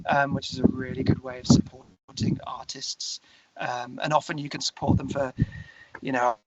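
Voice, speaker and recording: A man's voice: a little high, a bit bright, dry recording, lo-fi sound. A British man talking earnestly and discursively as a musician guest in a casual interview, often restarting his phrases.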